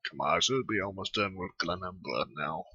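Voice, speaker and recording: A man giving casual gameplay commentary and reading dialogue aloud, with halting asides. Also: low-pitched, sharp tone, breathy edge, no room sound, lo-fi sound. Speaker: gamer narrating a playthrough.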